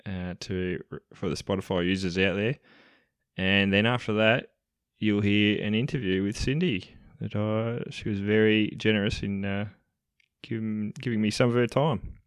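A very deep-voiced Australian man hosts a relaxed music podcast. He sounds upbeat and laughs easily, with plenty of fillers. He is recorded close and dry. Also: measured pace, smooth, dry recording. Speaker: podcast host. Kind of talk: casual podcast chat.

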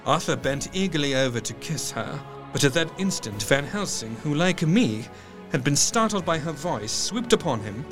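An actor playing a sorrowful, earnest doctor who narrates a gothic tale in measured, literary phrases. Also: male voice, on the high side, sing-song, over music. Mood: tense, grave. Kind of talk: dramatic scripted narration.

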